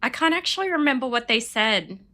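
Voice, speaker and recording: Sniffly, bright-voiced woman streamer. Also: fairly high pitch, lively intonation, a little fast. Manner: rambling, chatty, laughs often. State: sniffly with a cold. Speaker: game streamer. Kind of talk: casual chat while playing.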